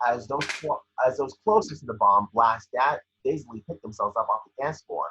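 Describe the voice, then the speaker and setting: A man with a rough, husky voice reading scripted scene descriptions at a table read. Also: medium pitch, normal speed, pushed and bright, highs cut off, a little hiss or hum.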